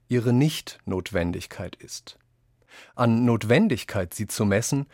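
Lower-voiced man reading quotations, dry studio. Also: dry recording. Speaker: reader of quotations in a radio essay. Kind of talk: scripted literary reading.

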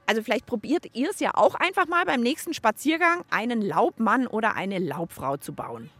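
A woman's voice: fairly high pitch, animated, moderate pace, a bit bright, no echo. A woman co-hosting a children's science show, explaining things in a friendly way and talking directly to the kids. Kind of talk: casual presenting for children.

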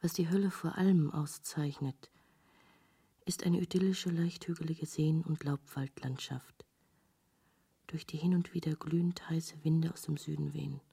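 A woman acting in a literary radio drama as a disillusioned, reflective traveller, speaking in a measured, dry way with a husky, breathy voice. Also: low voice, dark tone, exaggerated voice. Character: disillusioned female visitor to hell, wistfully reflective narrator.

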